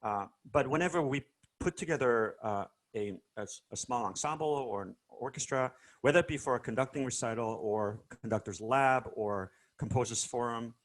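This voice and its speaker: A man's voice: mid-range voice, moderate pace. A man from South Korea being interviewed over a video call, telling stories casually with many fillers and restarts. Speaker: guest musician.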